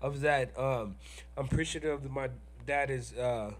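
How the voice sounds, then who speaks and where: A man's voice: mid-range voice, normal speed. A man hosting a casual group podcast, joking and talking over others. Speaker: podcast host.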